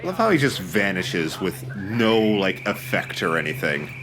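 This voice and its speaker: A man making deadpan, sarcastic casual remarks as a game commentator. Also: deep voice, moderate pace, crowd noise. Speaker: let's play commentator. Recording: loud game sound behind voice.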